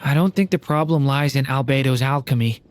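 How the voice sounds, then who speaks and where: An actor voicing a gentle, unsure young game character, speaking softly with hesitant stammers. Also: male voice, mid-range voice, a little fast, soft background music, natural voice. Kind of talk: video game voice acting.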